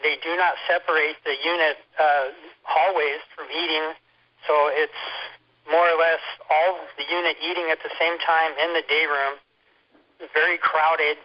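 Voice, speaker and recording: A man's voice: fairly high pitch, level tone, measured pace. A man giving an informal first-hand complaint as a hospital patient, speaking over a telephone conference call. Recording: muffled lo-fi telephone conference line.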